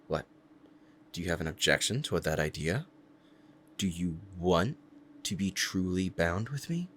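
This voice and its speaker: Proud demon lover, played by a man, deep-voiced, intense and deliberate, ASMR roleplay, faint background noise. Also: animated, fairly bright tone. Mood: insistent, possessive. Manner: intense, deliberate, dramatic pauses. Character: powerful possessive demon bound to a sorcerer, devoted, proud. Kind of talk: ASMR roleplay acting, dramatic monologue.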